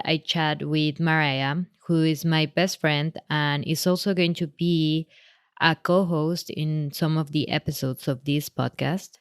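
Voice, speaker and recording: Low-voiced Mexican-accented woman podcaster. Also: clear, resonant tone, dry recording, clean studio sound. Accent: Mexican Spanish. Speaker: podcast host. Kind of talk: podcast intro and interview.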